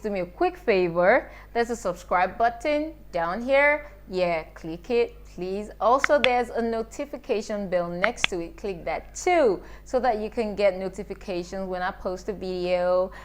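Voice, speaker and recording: A woman's voice: medium pitch, moderate pace, hardly any pauses, echoey room, slight background noise. A young woman from Nigeria, chatting casually to camera in her vlog and repeating words for emphasis. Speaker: vlogger.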